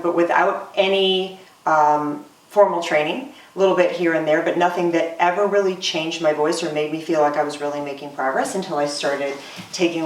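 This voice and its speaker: A woman in her forties, a singer, talking earnestly in a casual interview with many pauses and "um"s, recorded roomy from off-mic. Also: on the low side, distant mic. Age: 40s. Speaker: singer and voice student.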